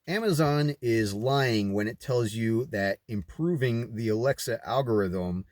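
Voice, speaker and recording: A man's voice: mid-range voice, a little slow, darkish tone, no echo. A man reading aloud and adding his own off-the-cuff commentary, with emphatic, rhetorical delivery. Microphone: recording himself on camera.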